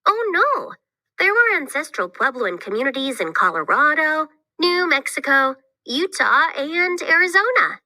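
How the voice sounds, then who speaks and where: A woman's voice: high voice, very animated, moderate pace, sharp tone, no echo, full character voice. A woman voicing an eager, knowledgeable young girl explorer in a scripted read-aloud for children, explaining things with enthusiasm. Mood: animated, explaining.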